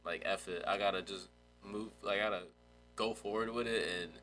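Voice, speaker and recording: A man's voice: fairly low pitch, steady tone, fairly quick, pushed and bright, slight background noise. A young man hosting a podcast interview, chatting in an informal, slangy way. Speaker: podcast host. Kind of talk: casual chat.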